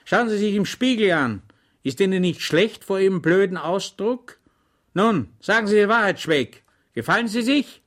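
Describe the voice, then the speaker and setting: A man's voice: on the high side, moderate pace, close to an everyday voice. A man reading an audiobook, voicing an exasperated, short-tempered army lieutenant who talks with sarcasm.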